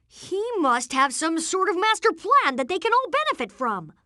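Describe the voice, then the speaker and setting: Video game boy genius: earnest take-charge inventor, high and very lively, explains things precisely. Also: normal speed, no echo, studio quality, exaggerated voice. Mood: explaining, confident. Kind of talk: animated video game voice acting.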